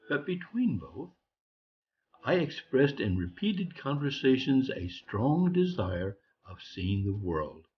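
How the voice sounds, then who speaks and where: A man reads a scripted storybook tale as a narrator, in a theatrical, measured storytelling manner. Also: fairly low pitch. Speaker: audiobook reader. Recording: dull lo-fi recording with music behind. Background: music.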